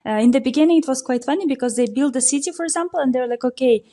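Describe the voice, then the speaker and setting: Mellow-voiced woman explaining at length. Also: high-pitched, very fast. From Kyrgyzstan. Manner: explanatory, many fillers, steady flow. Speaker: local tour operator, guest. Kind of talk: conversational interview answers. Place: podcast recording.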